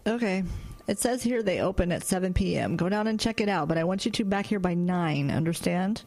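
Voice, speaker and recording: A woman's voice: lowish voice, lively intonation, a little fast, a little mellow. An actress playing a strict but caring mother of two teenage sons, speaking in a firm, parental way.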